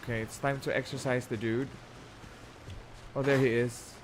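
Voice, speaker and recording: A man's voice: mid-range voice, level tone, moderate pace, background noise. A man streaming a game, giving casual, off-the-cuff live commentary.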